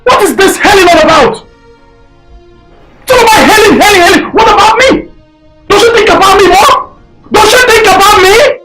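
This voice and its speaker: Man acting an indignant grown son, lively swinging pitch, shouting and tearful, soft music behind. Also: a little slow, exaggerated voice, rough recording. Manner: pleading, then shouting and tearful. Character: defiant grown son caught in an affair. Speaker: son in a family drama. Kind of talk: melodramatic drama acting.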